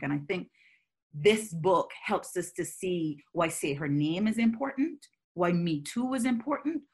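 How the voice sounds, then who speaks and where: A woman from Barbados, speaking as a scholar guest in a conversational interview, forthright and animated as she works through long thoughts. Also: deep voice, gravelly, slightly rough.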